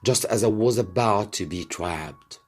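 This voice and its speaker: A man reading a scripted story aloud as a teacher, with dramatic, deliberate phrasing. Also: lowish voice.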